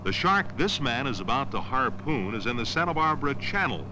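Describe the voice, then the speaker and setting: A man narrates an educational film from around 1970 in a measured, declarative style. His voice sits at a medium pitch and moves with lively inflection. The old soundtrack is clearly noisy, with boat and outdoor ambience behind him.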